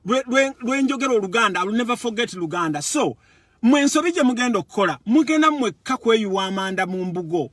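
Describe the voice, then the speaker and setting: High-pitched, forceful Ugandan man. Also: animated, fast talker, no room sound. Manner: emphatic, repeats words, switches between languages. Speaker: political commentator. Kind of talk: impassioned political monologue. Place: Europe.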